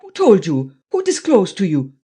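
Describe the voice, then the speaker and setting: Man putting on an excitable, high-pitched old German man. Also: moderate pace, breathy edge, heavily acted. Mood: indignant shock. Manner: stammering, spluttering, agitated. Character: anxious, excitable old German traveller, poor and shy. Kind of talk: audiobook character voice.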